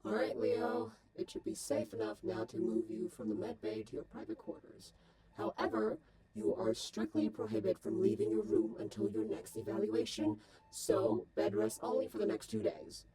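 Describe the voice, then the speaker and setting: Robot caretaker for animated comic dub, actor-performed: clinical, lecturing, creaky, very animated delivery. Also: medium pitch, moderate pace, rough recording. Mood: bossy. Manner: formal, precise, lecturing. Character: stern clinical robot caretaker, wordy, exasperated, secretly soft-hearted.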